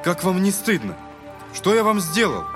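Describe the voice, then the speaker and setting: An actor playing an earnest, gentle young man in an audio drama, polite and pleading. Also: high voice, big pitch swings, moderate pace. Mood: indignant. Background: music.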